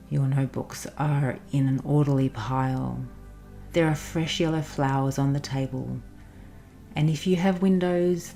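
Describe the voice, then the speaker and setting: A woman narrating a guided meditation in a soothing, instructional manner with pauses. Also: low-pitched. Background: soft background music.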